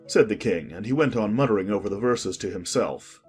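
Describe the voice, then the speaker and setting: A man narrating an audiobook in a calm, measured way. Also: on the low side, faint music behind.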